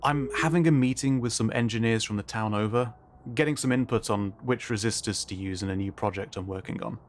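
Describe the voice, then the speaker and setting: A man's voice: fairly low pitch, brisk, lightly acted. A man playing a blunt, playful mechanic who runs a shop, talking in a casual, sardonic way in an audio roleplay. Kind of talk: ASMR roleplay audio drama acting. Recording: clear background ambience noise.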